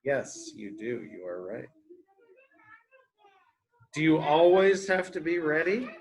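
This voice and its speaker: A man's voice: fairly high pitch, big pitch swings, slow pace, vocal fry. A man reading a picture book aloud to young children, explaining in simple, repeated words and asking questions as a teacher does.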